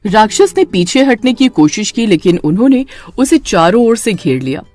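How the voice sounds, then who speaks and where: A woman's voice: mid-range voice, very animated, normal speed, a little mellow, soft background music. A woman narrator reading a scripted horror story in a dramatic storytelling manner.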